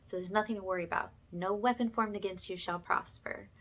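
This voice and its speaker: A woman's voice: medium pitch, fairly even intonation, fairly quick, a bit bright. A woman speaking informally to her viewers as a spiritual ASMR healer, reassuring them and often checking in with them directly. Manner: reassuring, addresses listeners directly with check-in tags.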